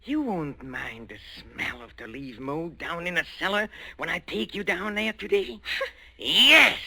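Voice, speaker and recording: Sharp, unhinged radio-drama husband. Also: male voice, on the high side, animated, measured pace, gravelly, full character voice. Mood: gloating menace. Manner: stammering, hesitant, then fervently menacing. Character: meek henpecked husband turned gloating, unhinged wife murderer. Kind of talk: 1940s radio drama acting. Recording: old radio broadcast with music.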